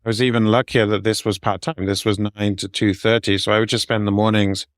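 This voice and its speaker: A middle-aged man answering interview questions in a measured, explanatory way, laying out his advice point by point. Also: fairly low pitch, flat delivery, no echo, clean studio sound. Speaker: guest, medical translator.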